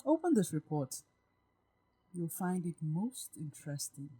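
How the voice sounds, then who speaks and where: Audio drama: poised, strong woman with walls up, played by an actress, composed and deliberate, lowish pitch. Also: very animated, mellow, breathy edge, full character voice. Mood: businesslike, informative. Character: Gabrielle, poised, self-assured woman behind emotional walls.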